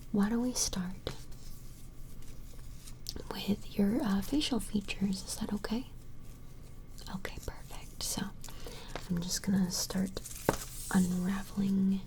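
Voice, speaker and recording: A woman voicing a flustered, smitten vampire in an ASMR roleplay, whispering hesitantly and apologetically in a breathy voice. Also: medium pitch, slight background noise, rough recording. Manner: hesitant, apologetic, halting, softly attentive. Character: flustered, smitten female vampire measuring a strange creature. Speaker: ASMR performer.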